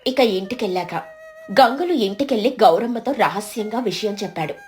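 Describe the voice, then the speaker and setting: A woman's voice: mid-range voice, animated, normal speed, a little mellow, faint music behind. A woman giving a scripted narration of a moral story as its storyteller.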